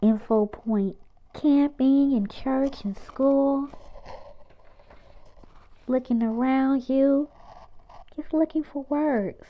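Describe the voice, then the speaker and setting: A woman reading a children's picture book aloud in a slow, sing-song, animated way, pointing things out to young listeners. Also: high voice. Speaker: children's storyteller. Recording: dull lo-fi recording.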